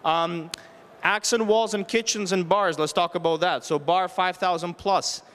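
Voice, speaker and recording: A man's voice: high-pitched, steady tone, unhurried, fairly bright tone. A man in his thirties giving a practical, explanatory public presentation into a handheld microphone, often posing questions and listing options. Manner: explanatory, poses rhetorical questions and lists options. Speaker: renovation contractor giving a presentation. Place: stage at an indoor home show. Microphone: handheld microphone held near the chin.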